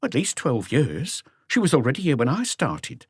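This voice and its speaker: Guy doing a curt guarded concierge lady for an audiobook, higher voice, swings up and down, dry sound. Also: huge pitch swings, moderate pace, slightly rough, no echo, exaggerated voice. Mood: curt, offhand. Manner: curt, offhand answers. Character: female concierge, blunt, offhand, guarded witness.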